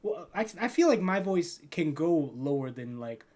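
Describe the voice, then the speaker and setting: A man's voice: fairly high pitch, big pitch swings, moderate pace, a little mellow. A young man hosting a casual podcast chat, rambling with lots of fillers and frequent laughter. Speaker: podcast host and editor.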